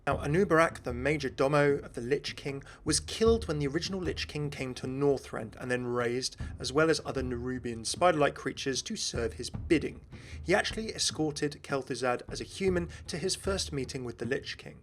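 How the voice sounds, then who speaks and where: A man's voice: mid-range voice, brisk, slight background noise. Male gaming commentator explaining game lore. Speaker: gaming video host.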